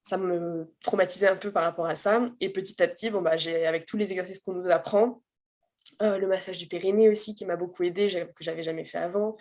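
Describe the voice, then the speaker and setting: A woman giving a personal testimonial as a guest, speaking candidly and informally in a halting, emphatic way. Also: on the low side, fairly even intonation, darkish tone. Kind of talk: casual personal storytelling.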